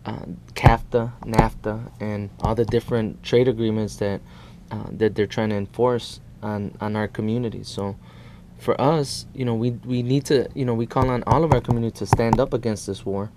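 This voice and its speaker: A man in his thirties from El Salvador, discussing politics as an activist guest in halting, repetitive phrases. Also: fairly low pitch, fairly even intonation, darkish tone, slight background noise. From born in El Salvador. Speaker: guest, immigrant rights activist. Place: small indoor TV studio.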